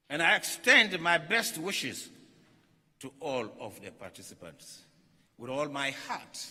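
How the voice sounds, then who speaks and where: A man, a religious leader reading a formal conference message aloud with emphatic, deliberate oratory and repeated phrases for weight. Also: a little high, bright, forceful tone. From Ghana. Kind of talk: formal read-out conference speech. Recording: long hall reverb.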